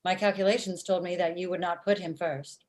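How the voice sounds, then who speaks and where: A woman playing a blunt female matchmaking robot with formal, deadpan phrasing in a comedy table read over video call. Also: on the low side, a little fast, lightly acted. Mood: measured, frank. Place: indoor room at home.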